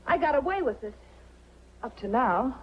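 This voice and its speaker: A woman's voice: high voice, lively intonation, normal speed. An American actress playing a guarded, wistful young woman with a secret past in a 1940s film noir. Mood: defiant. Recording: muffled, noisy 1940s film soundtrack.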